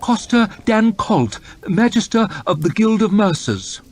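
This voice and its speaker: A man's voice: high-pitched, sing-song, a little slow, voice pushed up, exaggerated voice. A man voicing a nervous, fumbling male official who hesitates and stammers, in an audiobook. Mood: timid. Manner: hesitant and stammering, full of ums.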